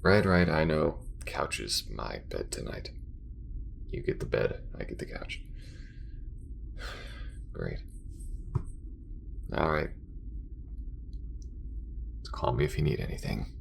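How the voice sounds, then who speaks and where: A man acts out a guarded alien crewmate for an audio roleplay, extremely low and thick with gravelly fry. He mutters haltingly and grudgingly, gruff but anxious underneath, his pitch dipping and rising over a faint hiss. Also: lively intonation, heavily acted. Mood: tired, grudging. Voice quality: very deep, gravelly. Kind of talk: intimate ASMR roleplay acting.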